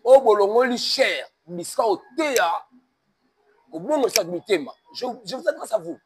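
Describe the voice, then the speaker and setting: High-voiced Congolese man preaching. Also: sing-song, moderate pace, noisy background. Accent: Congolese French. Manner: emphatic, mixes French and Lingala, sermon cadence. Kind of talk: preaching-toned interview talk.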